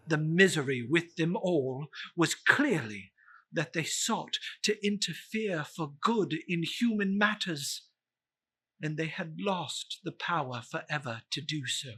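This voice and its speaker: A man reads a classic tale as a dramatic narrator. His delivery is slow and theatrical, with a bright, forceful edge and measured phrasing. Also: sits high, slight background noise.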